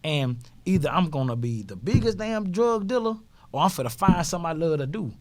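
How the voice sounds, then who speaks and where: A man's voice: a little high, big pitch swings, darkish tone, no echo. Laid-back man, dry close sound. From Houston, Texas. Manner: laid-back, halting, repeats filler phrases. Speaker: podcast guest, club owner. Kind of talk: casual conversation.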